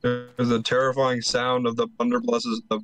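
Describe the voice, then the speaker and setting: A man with a medium-pitched voice banters as a fellow player in a game chat. His talk is casual, jokey and full of swearing. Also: moderate pace, faint music behind. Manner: joking, sweary, animated reactions.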